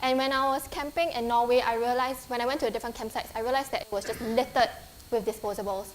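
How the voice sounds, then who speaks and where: A woman's voice: fairly high pitch, fairly even intonation, quick pace, a little hiss or hum. Young Singaporean woman giving a talk. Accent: Singaporean English. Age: 20s. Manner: friendly, earnest, with frequent ums and restarts. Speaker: guest speaker. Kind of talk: conference talk with slides. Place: lecture hall.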